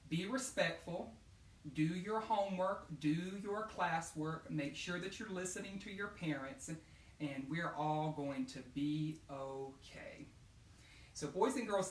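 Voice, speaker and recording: A man's voice: fairly high pitch, fairly bright tone, slight background noise. Echoey male educator talking to children. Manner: encouraging, asks questions and answers them himself. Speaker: educator hosting children's read-alouds. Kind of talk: read-aloud and talk addressed to children. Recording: roomy echo, distant microphone.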